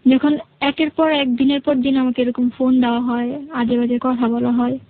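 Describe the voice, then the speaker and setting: A woman's voice: high-pitched, flat delivery, moderate pace, phone-quality sound. A young woman with a Bangladeshi accent, a guest telling her personal story conversationally and quoting reported dialogue.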